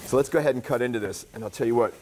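A man's voice: medium pitch, rapid-fire, a little mellow, slight background noise. A middle-aged American man giving a live product demonstration in a studio kitchen as he cooks.

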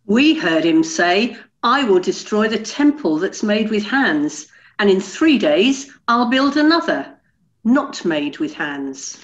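A middle-aged woman playing an earnest, accusing witness in an amateur scripture drama, giving deliberate testimony. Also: medium pitch, very animated, muffled recording. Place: home living room.

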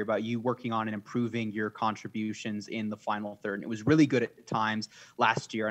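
A man working as a sports reporter, putting questions at a press conference. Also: mid-range voice.

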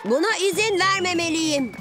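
A woman's voice: very high-pitched, animated, normal speed. A woman voicing an earnest, exclamatory young boy lizard superhero in a cartoon dub. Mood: worried. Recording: music under the voice.

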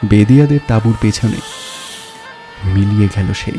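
A man narrating a crime story in a measured, suspenseful, dramatic storytelling style. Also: fairly low pitch. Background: background music.

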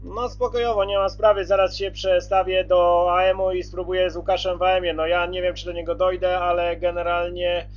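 A man's voice: high-pitched, little pitch movement, a little fast, hardly any pauses, lo-fi sound, faint music behind. A man talking casually as a CB radio operator, repeating himself as he checks and confirms reception. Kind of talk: casual radio contact talk.

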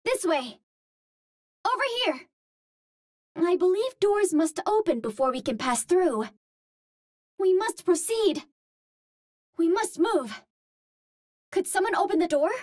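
A woman's voice: very high-pitched, sing-song, takes it slowly, long pauses, a little mellow, dry recording, studio quality, heavily acted. A woman voicing a cute, earnest young Martian heroine in a video game, with precise, formal phrasing. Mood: focused, matter-of-fact. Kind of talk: video game character voice lines.

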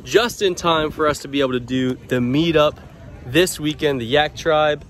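A man's voice: a little high, normal speed, a bit bright, a little hiss or hum. A young man casually walking viewers through a small shop, talking straight to them in an upbeat way. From Louisiana. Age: young adult. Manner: upbeat, addresses viewers directly. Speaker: vlogger. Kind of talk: casual walkthrough vlog. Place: outdoors by a waterside dock, then inside a small shop. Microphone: handheld camera held close.

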